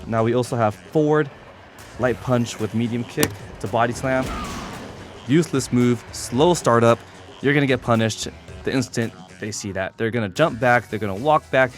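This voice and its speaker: A man with a middle-pitched voice talks through a video game tutorial in a casual, instructional way. He is informal and drops in blunt asides, speaking like a player showing others the ropes. Game music plays clearly behind his voice. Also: normal speed. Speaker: competitive gamer presenting a character guide. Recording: voice over loud game music.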